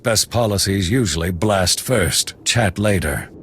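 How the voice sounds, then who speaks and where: An actor playing a blunt veteran officer in charge of a military outpost, speaking dry and matter-of-fact. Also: male voice, low-pitched, measured pace, a little hiss or hum. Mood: gruff. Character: gruff male outpost commander, blunt veteran. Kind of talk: video game cutscene.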